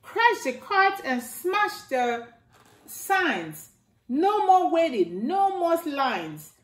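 A middle-aged woman reading a rhyming picture book aloud to children in a slow sing-song way, filmed indoors at home by a camera a few feet away. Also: extremely high pitch, big pitch swings. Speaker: storytime reader. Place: living room by a staircase, indoors. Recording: echoey room.

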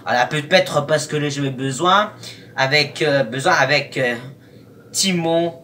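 A young man giving casual reaction commentary in a halting, rambling, disjointed way. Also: fairly high pitch, pushed and bright. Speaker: YouTube host. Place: small room at home, indoors. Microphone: webcam at arm's length or more. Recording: noisy webcam, distant from the mic.

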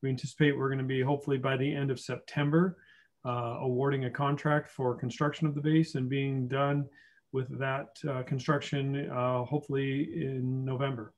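A man's voice: medium pitch, normal speed. A man on city staff giving council a methodical project update, with frequent uh fillers. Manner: steady, methodical, with many uh fillers.

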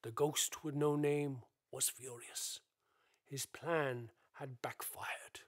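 A man narrating a scripted radio play as a deliberate, dramatic storyteller. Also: medium pitch, fairly even intonation.

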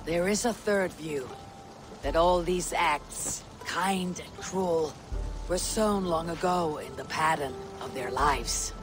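A woman playing a stoic, weathered Viking warrior in a game, speaking in a measured, grave and formal way. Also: on the low side, over music. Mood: solemn storytelling. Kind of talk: dramatic game cutscene acting, storytelling.

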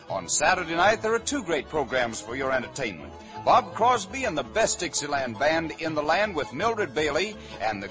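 A man's voice: sits high, poor audio. An American man announcing in polished, promotional 1940s radio advertising style. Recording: vintage 1940 radio broadcast.

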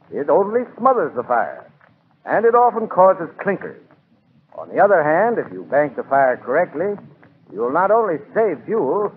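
A man giving instructive advice in a scripted 1940s radio commercial. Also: fairly high pitch. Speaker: advertising spokesman. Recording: tinny, hissy 1940s radio recording.

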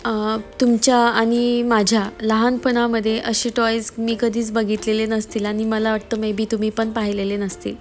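A woman's voice: a little high, completely flat delivery, normal speed, hardly any pauses. A woman narrating a family vlog in casual, explanatory voice-over, often repeating her phrasing. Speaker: family vlogger. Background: music.

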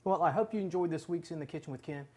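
A man's voice: a little high, fast talker, a little mellow. A man in his forties hosting a home cooking show, talking casually and enthusiastically while he works in a large kitchen. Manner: chatty, enthusiastic, talks while working.

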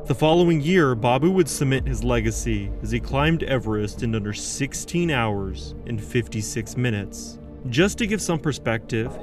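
A man telling a true story as a scripted narration, earnest with dramatic pauses. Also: mid-range voice. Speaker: documentary narrator. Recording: music bed under voice. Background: music.

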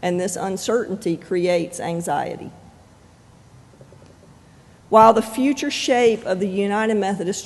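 A pastor preaching a sermon in measured, deliberate phrases with frequent pauses. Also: medium pitch, darkish tone. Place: church sanctuary. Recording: long reverb of a church sanctuary.

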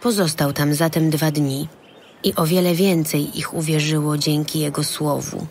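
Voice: medium pitch, fairly even intonation, normal speed, a little mellow, a little hiss or hum. A narrator reading scripted narration for a dramatised audio Bible.

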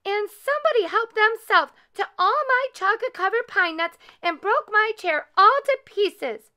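A woman voicing a little boy bear cub in a squeaky, upset storybook voice. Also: animated, normal speed, bright, forceful tone, exaggerated voice. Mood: tearful. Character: small squealing male bear cub, tearful and indignant.

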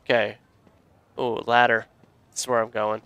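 A young man giving casual, excitable commentary while playing a video game, joking and swearing freely. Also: mid-range voice, normal speed, clear, resonant tone, no echo. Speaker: let's play gamer.

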